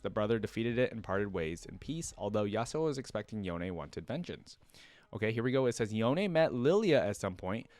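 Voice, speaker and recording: A man hosting a reaction video, reading lore aloud and breaking in with informal, off-the-cuff commentary as he thinks out loud. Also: fairly low pitch, no room sound. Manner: informal, thinks aloud between read passages.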